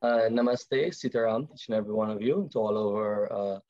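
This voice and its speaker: A man with a Guyanese accent, a priest introducing himself on a panel in a formal, halting way over slightly dull call audio. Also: fairly low pitch, darkish tone, no room sound.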